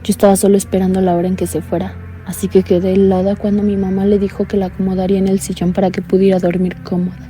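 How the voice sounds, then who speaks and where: A young woman playing a blind caller recounting a scare in a soft, earnest, confiding way. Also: medium pitch, little pitch movement, quick pace, hardly any pauses, faint music behind, lightly acted. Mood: uneasy. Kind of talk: radio drama narration.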